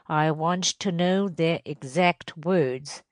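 A woman voicing a domineering, vindictive male estate superintendent in a storybook reading, questioning loudly and emphatically. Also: deep voice, takes it slowly, no echo. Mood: commanding. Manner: loud, emphatic interrogation.

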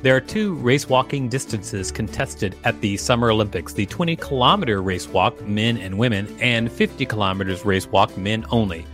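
Male podcast co-host, low register, article reading with casual asides. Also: moderate pace, few pauses, a bit bright, soft background music.

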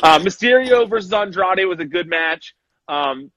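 Bright-voiced man chatting wrestling. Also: high-pitched, moderate pace, low-quality recording. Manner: animated, acts out quotes in voices. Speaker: podcast co-host. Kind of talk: casual wrestling chat.

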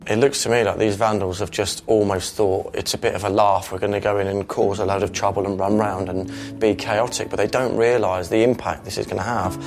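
A man's voice: lowish voice, little pitch movement, a little fast, gravelly. A man giving a serious spoken comment for a television report. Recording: music behind the voice.

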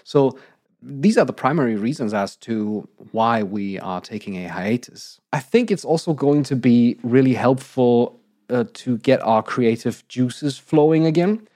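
A slightly croaky man in his thirties co-hosts a podcast, articulate and measured, with frequent laughs. His voice sits at an ordinary pitch. The recording is dry and close, with no room sound. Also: lively intonation, mellow, no echo. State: slightly croaky, recovering from illness. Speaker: podcast co-host. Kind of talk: casual podcast announcement.

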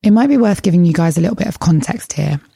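A woman hosting an interview podcast, with a low, gravelly voice that carries a dark, mellow tone. She sounds friendly and encouraging, and she also steps aside to explain background for the listeners. The sound is dry and close to the mic. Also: animated, fast, no room sound. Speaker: podcast host.

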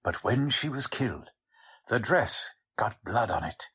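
Man acting a husky, slow-talking skeptical police sergeant. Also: mid-range voice, lively intonation, fairly bright tone, exaggerated voice. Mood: matter-of-fact. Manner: blunt, folksy working-class cop talk. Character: gruff, slangy, skeptical male police sergeant. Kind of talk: 1940s radio drama acting.

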